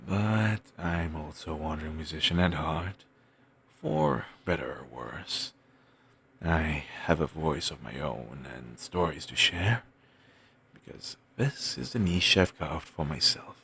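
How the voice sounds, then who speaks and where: A man playing a wistful, self-doubting wandering bard in an intimate audio roleplay, musing in courteous, formal phrases with thoughtful pauses and a gravelly, husky voice. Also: a bass voice, expressive, a bit bright, exaggerated voice. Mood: melancholy musing. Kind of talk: intimate one-sided audio roleplay acting.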